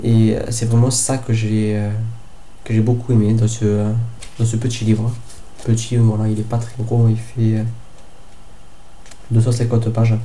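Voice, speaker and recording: Young man with a cold, dark flat voice. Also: lowish voice, normal speed, pauses often, a little hiss or hum. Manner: hesitant, lots of fillers and restarts. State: has a head cold. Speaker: book vlogger. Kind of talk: casual book review vlog.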